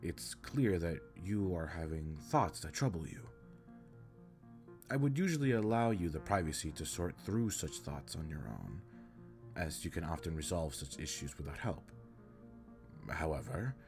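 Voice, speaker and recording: Man playing a very deep-voiced, formal alien captain. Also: very animated, a little mellow, soft background music, heavily acted. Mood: concerned, apologetic. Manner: stiff, formal, precise and measured wording. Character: formal, earnest, caring male alien spaceship captain. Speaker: audio roleplay voice actor. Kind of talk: scripted roleplay audio drama, addressing the listener.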